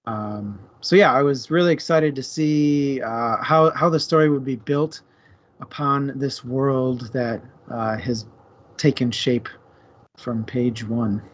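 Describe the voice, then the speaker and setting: A man's voice: medium pitch, takes it slowly. A man chatting casually and playfully as co-host of a book discussion show. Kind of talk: casual chat. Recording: dull, muffled lo-fi audio.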